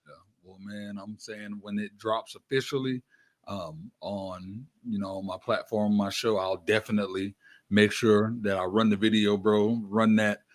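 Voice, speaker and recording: A man's voice: on the low side, steady tone, unhurried. Slangy man hosting. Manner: slangy, frequent check-in tags. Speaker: radio show host. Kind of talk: casual hype interview chat.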